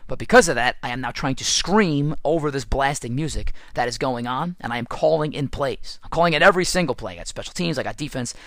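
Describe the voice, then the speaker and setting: A man hosting a casual podcast, hoarse and raspy from losing his voice, chatty and self-deprecating. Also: medium pitch, animated, fast talker, few pauses, fairly bright tone, no room sound. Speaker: podcast host and football coach. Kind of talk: casual solo podcast with ad read.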